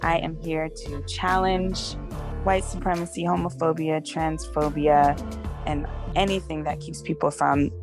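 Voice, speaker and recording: A woman's voice: low-pitched, level tone, a little slow, music in the background. A woman who is a midwife and podcast cohost, giving a firm, declarative scripted introduction.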